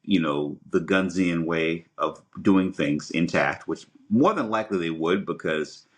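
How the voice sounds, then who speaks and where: A man co-hosting a podcast, talking casually and emphatically, often repeating words as he works through his point. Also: deep voice. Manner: emphatic, repeats words, halting rambling delivery. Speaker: podcast co-host. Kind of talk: casual opinionated discussion.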